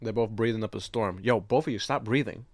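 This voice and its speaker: A man's voice: lowish voice, brisk, no echo. A man co-hosting a podcast in casual chat, bantering in a joking, slangy, sweary way. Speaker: podcast co-host. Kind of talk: casual chat about movies.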